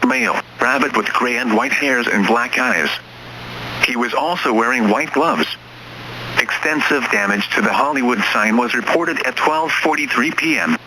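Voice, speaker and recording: Robotic guy doing weather radio alerts, super sharp bright voice, formal n impersonal. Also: medium pitch, slight background noise, rough recording. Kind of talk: formal scripted public warning bulletin.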